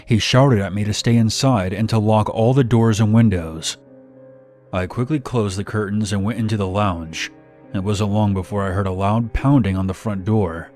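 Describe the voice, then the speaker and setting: A man's voice: on the low side, fairly even intonation, normal speed, a little mellow. A man reading a scripted first-person horror story as narration. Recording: music bed behind narration. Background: background music.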